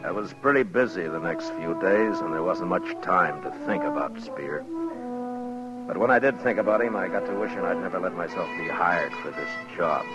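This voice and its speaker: An American man playing a steady, sardonic Old West marshal in a dry, laconic 1950s radio acting style. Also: deep voice, moderate pace, lightly acted. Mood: reflective narration. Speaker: lead actor and narrator. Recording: muffled 1950s radio recording, music behind.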